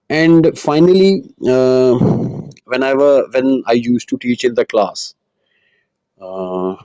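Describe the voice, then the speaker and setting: A male IELTS tutor whose first language is Urdu explains writing tasks in an earnest, patient way, often pausing on "uh" and restarting his sentences. His voice sits at a middle pitch. The recording is dry and close, with no room sound. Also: mellow, no echo. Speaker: IELTS teacher. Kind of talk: online lesson monologue.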